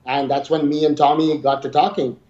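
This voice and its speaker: A man in his thirties gives chatty, emphatic interview answers. His voice sits a little high and is soft-edged and mellow. The recording is muffled, with clear room echo. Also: flat delivery, brisk. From Dubai, UAE. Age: 30s. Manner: talkative, informal, emphatic. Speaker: interview guest, gaming company regional director. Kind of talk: enthusiastic conversational interview answers. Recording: muffled, echoey room sound.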